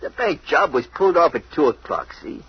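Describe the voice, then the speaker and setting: A slangy tough-guy crook from a vintage radio crime play, pleading his innocence. His voice is very husky and swings up and down, on a dull old recording. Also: fairly high pitch, animated, brisk, dry recording, heavily acted. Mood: earnest, insistent. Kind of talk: old-time radio crime drama acting.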